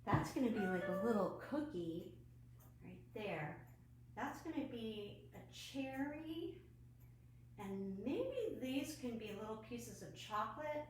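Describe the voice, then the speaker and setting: A woman leading an online children's storytime and craft from home, animated and putting questions to young viewers. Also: on the high side, slow. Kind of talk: children's storytime read-aloud and craft demonstration. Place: at home, indoors. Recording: roomy echo, faint background noise.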